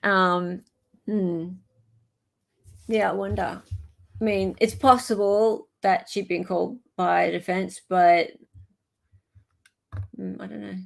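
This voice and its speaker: A woman's voice: mid-range voice, fairly even intonation, slow, vocal fry, long pauses. An Australian woman host giving casual live commentary, musing aloud in an informal way.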